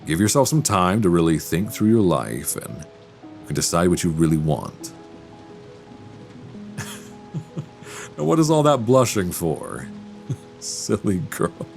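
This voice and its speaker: A man playing a deep-voiced, kindly young professor. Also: very animated, slow pace, gravelly, pauses often, soft background music, exaggerated voice. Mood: tender, teasing.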